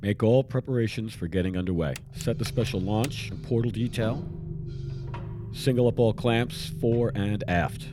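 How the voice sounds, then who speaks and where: An actor playing a steady male ship commander who gives clipped naval orders in an audio drama. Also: fairly low pitch, normal speed, loud music behind the voice, lightly acted. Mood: commanding. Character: steady male commander of an exploratory ship's crew.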